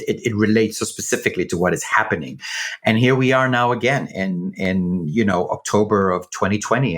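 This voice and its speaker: A man with a deep voice speaks reflectively and without a script. He is earnest, doubling back on words as he forms his thought. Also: fairly bright tone. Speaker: theatre creative team member. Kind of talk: reflective unscripted commentary.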